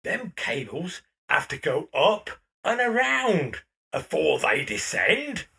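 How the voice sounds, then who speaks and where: A man's voice: on the high side, wildly swooping pitch, slow pace, gravelly, sharp tone, heavily acted. An actor playing a scolding old labouring man in an audio drama.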